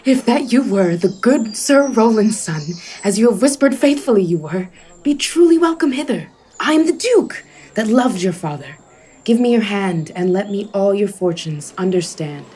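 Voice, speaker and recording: A woman's voice: mid-range voice, animated, normal speed, mellow, slightly rough. A woman playing a noble, commanding duke in a Shakespearean radio play. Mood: gracious.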